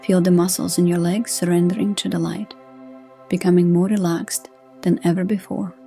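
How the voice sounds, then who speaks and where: A woman reading a scripted sleep hypnosis session in a gentle, hushed, soothing manner. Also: fairly low pitch, level tone, moderate pace, vocal fry. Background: soft new-age music.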